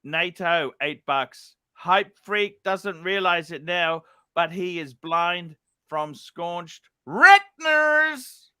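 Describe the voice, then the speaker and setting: An excitable man hosting a livestream, jokey and loud as he reads donations and calls out to chatters. His voice sits high and sounds bright and forceful. The sound is dry and close, with little room around it. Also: very slow, few pauses, clean tone, no echo. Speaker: livestream host. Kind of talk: casual livestream chat, reading out donations.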